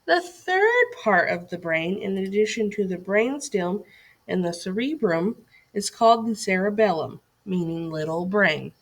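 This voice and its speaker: Woman reading aloud with lively, varied pitch. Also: unhurried. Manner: instructional, addressing young listeners with questions and directions. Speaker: teacher reading a lesson aloud. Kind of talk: scripted educational read-aloud for children.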